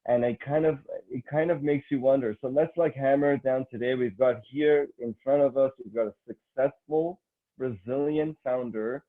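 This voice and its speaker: A man's voice: mid-range voice, steady tone, normal speed, narrow, tinny audio. A man from Canada hosting an online interview, summing up the guest's points and framing questions, with frequent restarts. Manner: summarizing and framing questions, repeated starts. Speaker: host.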